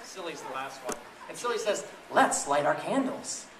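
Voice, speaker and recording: A man reading a picture book aloud to a group of children in a playful, chatty way, pausing to ask them questions. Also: a little high, big pitch swings, crowd noise. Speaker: storybook reader to children. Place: echoey room with an audience of families. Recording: roomy, distant event recording with audience noise.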